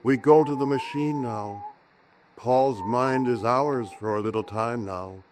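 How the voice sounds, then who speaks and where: An actor playing an alien hive-mind that speaks slowly and solemnly through a possessed man, in 1940s radio horror style. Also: mid-range voice, a little mellow, natural voice. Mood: solemn, eerie. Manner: slow, solemn, commanding, speaking as 'we'. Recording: noisy vintage 1940s radio broadcast.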